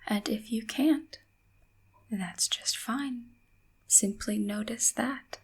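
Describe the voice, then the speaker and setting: A woman softly whispering a guided ASMR meditation in a gentle, calming manner, leaving long pauses between short phrases. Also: fairly high pitch. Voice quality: soft, breathy whisper.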